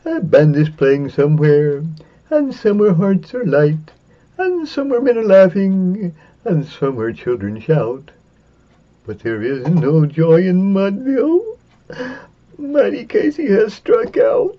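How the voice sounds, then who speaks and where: A man giving a semi-dramatic reading of a narrative poem, theatrical and drawn-out, with big swings for suspense and excitement. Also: high-pitched. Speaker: poetry reader. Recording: dull, lo-fi sound.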